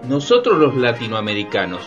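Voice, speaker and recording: A man's voice: medium pitch, wildly swooping pitch, brisk. A man playing a knowing, impassioned explainer in a radio play, emphatic and didactic. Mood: emphatic, explaining. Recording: dull lo-fi, faint music behind.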